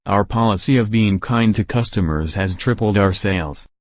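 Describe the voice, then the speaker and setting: Bluff older department store magnate played by a man, low pitch, dry sound, emphatic 1940s radio delivery. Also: moderate pace, no room sound, natural voice. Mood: brisk, self-satisfied. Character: bluff, self-satisfied department store owner. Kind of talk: 1940s radio drama acting.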